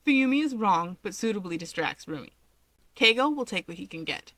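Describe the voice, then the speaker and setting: A woman's voice: medium pitch, expressive, moderate pace, a bit bright, no room sound. A woman narrating a piece of fiction in a scripted storytelling style.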